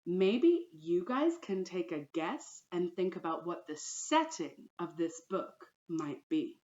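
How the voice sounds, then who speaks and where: Slow, deliberate woman teacher reading aloud. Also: fairly low pitch, sing-song, darkish tone, highs cut off. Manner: deliberate storytelling, addresses pupils directly. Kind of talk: children's storybook read-aloud.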